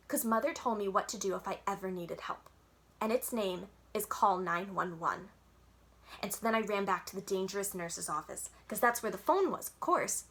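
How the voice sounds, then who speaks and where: A woman's voice: mid-range voice, fairly bright tone. A woman reading a children's book aloud expressively, as a library storyteller, with dramatic, childlike first-person narration.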